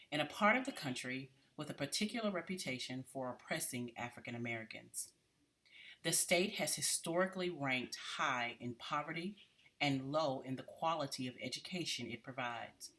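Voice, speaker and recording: An adult reads a biographical piece aloud. The voice sits at a medium pitch, and the delivery is deliberate and measured, like someone carefully following a printed text. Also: bright, forceful tone.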